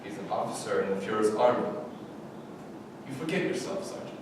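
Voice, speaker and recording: Echoey, far-off actor as commanding German officer. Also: male voice, expressive, brisk, close to an everyday voice. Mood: stern control. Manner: curt, imperious. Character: commanding, coolly menacing German officer in wartime camp. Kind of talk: staged play reading. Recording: very echoey hall, distant mic, noisy rumble.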